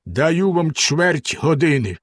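A man playing a cold, cruel storybook husband, measured and threatening, his words dropping one by one. The voice sits a little high for a man and sounds close and dry. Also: very animated, no echo, full character voice. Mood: menacing. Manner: slow, stern, threatening delivery.

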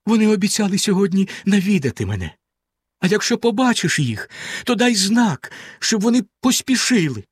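A desperate young wife in a storybook, begging and calling out in a high, strained voice, as a male narrator puts her on. The sound is close and dry. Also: sing-song, moderate pace, husky, no room sound, full character voice. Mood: pleading. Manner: high, pleading, tearful voice.

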